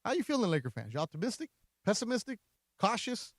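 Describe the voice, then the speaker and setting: A man hosting a sports talk radio postgame show, conversational and energetic, with playful asides to listeners. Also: high-pitched, fast, dry recording, clean studio sound.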